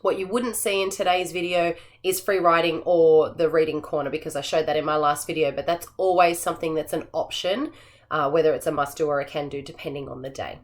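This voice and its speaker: An Australian woman with a slightly low, croaky voice walks through classroom routines in a practical, chatty way. She sounds tired, with a hoarse edge left from a long day of talking. Her delivery is casual and full of small fillers. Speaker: assistant principal and teacher. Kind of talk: teaching tips video, casual explanation.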